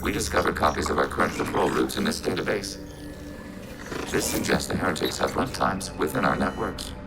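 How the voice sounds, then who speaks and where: An actor voicing a calm, logical machine mind in a video game, measured and formal, with synthetic processing on the voice. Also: male voice, a bass voice, creaky, music in the background. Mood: clinical, informative. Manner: measured, clinical, formal, speaks as "we". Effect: synthetic robot voice processing. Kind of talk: video game character acting.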